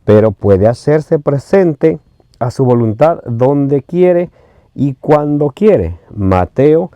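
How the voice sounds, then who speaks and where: Mellow-voiced Ecuadorian man preaching. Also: mid-range voice, dry recording. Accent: Ecuadorian Spanish. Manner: reads scripture references slowly, often hesitates with fillers.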